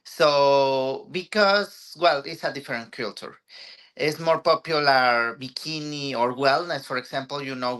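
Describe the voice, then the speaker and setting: A woman with a Mexican accent and a low voice, a native Spanish speaker, giving casual, halting interview answers as a guest. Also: a bit bright. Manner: halting, non-native English with repetitions. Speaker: interview guest, bodybuilder and trainer.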